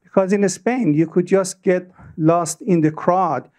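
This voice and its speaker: An older man in the audience of a meeting, asking a question in a halting way, searching for words. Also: high voice, fairly even intonation, a little mellow. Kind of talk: question from the floor. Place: meeting room.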